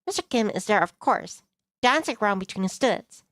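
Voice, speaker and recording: A woman's voice: medium pitch, lively intonation, brisk, fairly bright tone, no room sound, studio quality. A woman streamer reading a visual novel aloud, mixing narration with casual asides. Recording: music behind the voice.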